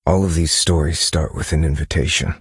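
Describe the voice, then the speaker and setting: An actor playing a brooding detective who narrates a dark mystery game story in a grave, measured, dramatic manner. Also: male voice, very deep voice, steady tone, slightly rough, no room sound, clean studio sound, full character voice. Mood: ominous, grave.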